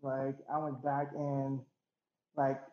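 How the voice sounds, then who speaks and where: A young man from Haiti, a DJ, talking in a relaxed, rambling way with many fillers, as a guest on a video call. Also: medium pitch, takes it slowly, roomy sound, dull audio. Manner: laid-back, rambling with many fillers and restarts. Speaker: DJ and interview guest. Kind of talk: casual interview over a call.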